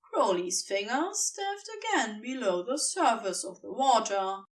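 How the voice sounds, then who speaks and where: A woman's voice: a little high, sing-song, a little slow, distant mic. A woman reading scripted story narration as the narrator of an audio fiction piece.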